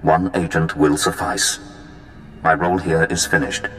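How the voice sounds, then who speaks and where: An actor playing an imperious, cold Sith lord in a video game cutscene, formal and solemn. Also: male voice, rumbling low, steady tone, unhurried, fairly bright tone. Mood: cold, commanding. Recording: cavernous echo, music underneath.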